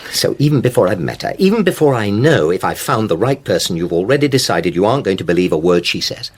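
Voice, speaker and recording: An actor playing an insistent, defensive middle-aged husband in a naturalistic radio drama. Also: male voice, fairly low pitch, very animated, fast, breathy edge. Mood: exasperated. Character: exasperated accused husband, a middle-aged successful publisher.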